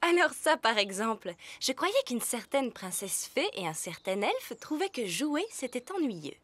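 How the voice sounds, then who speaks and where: A woman's voice: on the high side, big pitch swings, unhurried, a bit bright, exaggerated voice. A woman voicing a kindly, teasing elf mother in a cartoon dub, with a motherly, sing-song manner. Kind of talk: animated cartoon dubbing. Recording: light music underneath.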